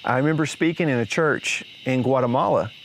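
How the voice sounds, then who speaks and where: A man's voice: mid-range voice, fairly quick, vocal fry, slight background noise. Male podcast host, easygoing talk with anecdotes. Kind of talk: casual podcast chat.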